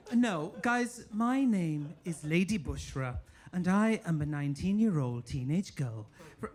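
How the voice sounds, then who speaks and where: A man from England with a northern English accent doing a stand-up set in a comedy club, mock-posh and deadpan with sudden high squeals. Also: slow. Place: comedy club stage with a laughing audience. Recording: live room with crowd noise. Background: audience laughter and applause.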